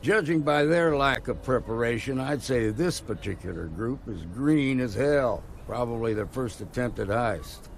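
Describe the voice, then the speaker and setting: An actor playing a gruff, weary frontier marshal in a video game, blunt and folksy. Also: male voice, mid-range voice, slight background noise.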